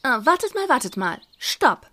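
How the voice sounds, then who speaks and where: Atinoviel, a woman doing a high-pitched vain healer. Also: very animated, normal speed, sharp tone, exaggerated voice. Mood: chirpy. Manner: chirpy and self-satisfied. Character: vain, bag-obsessed female healer elf. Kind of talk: amateur parody audio drama.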